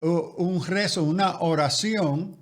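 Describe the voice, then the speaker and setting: Slow, careful pastor explaining theology. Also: male voice, high voice. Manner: slow, careful, lecturing with restarts. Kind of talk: explanatory interview answers.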